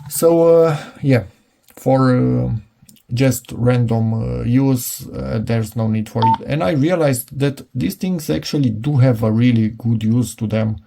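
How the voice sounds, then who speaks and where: A man's voice: medium pitch, measured pace, creaky, mellow, a little hiss or hum. A middle-aged man giving a casual, unscripted product demonstration, hesitant and rambling as he thinks aloud. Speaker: product reviewer.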